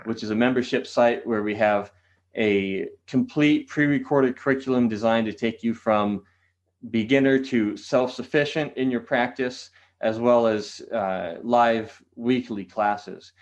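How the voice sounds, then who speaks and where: A man's voice: mid-range voice, a little slow. Earnest man, slightly muffled audio. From San Diego, California. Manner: earnest, restarts phrases often. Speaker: qigong teacher, guest. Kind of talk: podcast interview answers.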